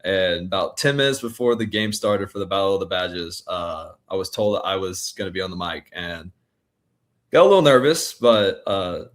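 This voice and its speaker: A man in his twenties with a fairly low voice, speaking as an athlete being interviewed. He talks in a relaxed, colloquial way, giving casual answers. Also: normal speed. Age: 20s. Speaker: college baseball pitcher being interviewed.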